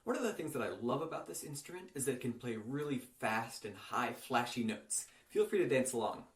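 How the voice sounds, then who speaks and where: A man from San Francisco, a flutist, brightly introducing his instrument to schoolchildren. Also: mid-range voice, big pitch swings, brisk, a little hiss or hum. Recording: distant, roomy.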